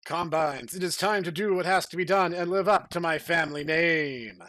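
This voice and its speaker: A man's voice: on the high side, dry recording. Man putting on an over-dramatic, declaiming young hero. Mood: defiant, heroic. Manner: declamatory, drawn-out, loud.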